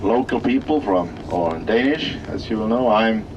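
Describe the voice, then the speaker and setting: A man with a Norwegian accent leads a tour in a lowish voice, stopping now and then to find the English words. He is heard from some way off the mic. Loud traffic and machinery noise fill the outdoor recording. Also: expressive, recorded from a distance, dull audio. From Norway. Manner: halting, searching for English words. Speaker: tour guide at an industrial plant. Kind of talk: guided tour commentary. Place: outdoors at an industrial site.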